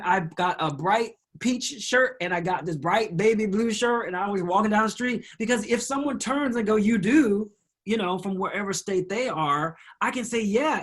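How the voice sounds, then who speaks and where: A male host with a high-pitched voice leads an inspirational talk show over a video call. He speaks in an encouraging, faith-filled way. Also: a bit bright.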